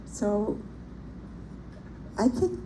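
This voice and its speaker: Soft-spoken older woman, gravelly, slow. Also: medium pitch, very animated. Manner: soft-spoken, gentle and reflective. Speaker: poetry reader at a public reading. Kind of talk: reciting a poem with personal remarks. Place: bookstore event room. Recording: noisy live event recording.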